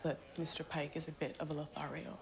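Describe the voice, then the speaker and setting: An actress playing a gossipy, eager bank secretary, prim and confiding, in a period drama. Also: female voice, deep voice, level tone, fast, creaky, rough recording. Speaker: actor.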